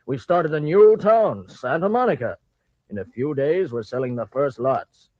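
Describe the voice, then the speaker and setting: Confident railroad boss, slightly high voice, dry muffled old radio drama. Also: male voice, expressive, moderate pace, dry recording. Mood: eager, confident. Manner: emphatic, confident. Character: ambitious, bold male railroad magnate. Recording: dull vintage radio recording.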